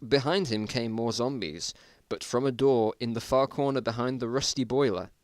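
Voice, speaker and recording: A man's voice: medium pitch, moderate pace, dry recording. A man with a mid-range voice gives an amateur dramatic reading of a story. He is wry and drops in self-mocking asides. The recording is dry, with no room sound. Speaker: narrator.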